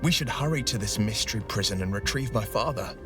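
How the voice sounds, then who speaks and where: A man plays a noble fantasy game hero. He speaks sincerely and eloquently in a lowish voice, slightly distant, over loud music. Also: expressive, quick pace, natural voice. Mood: earnest, hopeful. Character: noble, earnest heroic male fantasy adventurer. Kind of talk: video game voice acting.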